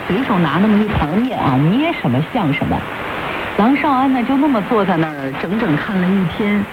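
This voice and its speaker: A woman's voice: mid-range voice, muffled recording. A woman narrating a scripted radio documentary in a storytelling style. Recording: very noisy shortwave radio reception. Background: loud radio static.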